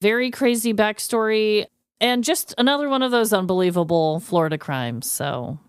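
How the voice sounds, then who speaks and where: A woman co-hosting a podcast, telling stories in an informal, expressive way. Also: medium pitch, moderate pace, smooth, dry recording, clean studio sound. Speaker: podcast co-host. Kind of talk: casual chat and scripted storytelling.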